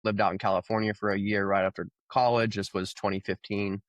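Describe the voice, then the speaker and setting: A man in his thirties from Oklahoma, a podcast guest, telling his background in a relaxed, rambling way with plenty of fillers. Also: lowish voice, a little fast, no room sound. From Oklahoma, USA. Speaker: guest, crypto founder. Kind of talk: casual conversational interview.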